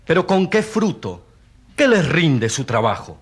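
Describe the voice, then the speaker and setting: Earnest, animated doctor testifying. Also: male voice, fairly high pitch, a little slow, husky, exaggerated voice. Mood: grave, emphatic. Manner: emphatic, expository. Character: earnest doctor witness, long among peasants, gravely concerned. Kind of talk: courtroom radio drama acting.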